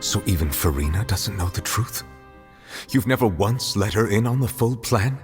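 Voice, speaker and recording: A man's voice: on the low side, lively intonation, normal speed, music in the background, close to an everyday voice. An actor playing a grave, formal judge in a video game, restrained and earnest in his speech. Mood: probing, serious. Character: grave, formal male judge, secretly an ancient dragon sovereign. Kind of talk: dramatic video game voice acting.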